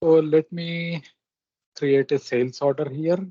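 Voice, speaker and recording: A man with a mellow voice presents a software walkthrough at a slow pace. He goes step by step in short, halting phrases. The recording is dry and close. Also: a little high, fairly even intonation, dry recording. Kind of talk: step-by-step screen demonstration narration. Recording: dull, lo-fi close microphone recording.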